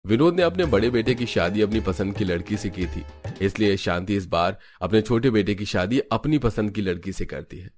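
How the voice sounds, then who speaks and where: A man's voice: lowish voice, animated, moderate pace, a little mellow, faint music behind. A man reading scripted narration for a family drama cartoon story.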